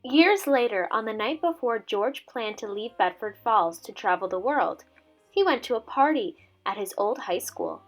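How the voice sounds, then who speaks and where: A woman's voice: on the high side, lively intonation, normal speed, soft background music. A woman in her twenties speaking as a teacher doing a storybook read-aloud for children. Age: 20s. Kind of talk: casual intro chat and storybook read-aloud.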